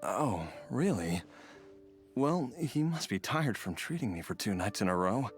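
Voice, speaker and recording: An earnest, grateful father who is recovering from illness in a fantasy game. His voice rises and falls in lively turns over soft background music. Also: lowish voice, a little slow. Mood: relieved. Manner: warm-hearted and earnest, lively shifts in tone. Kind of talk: game dialogue.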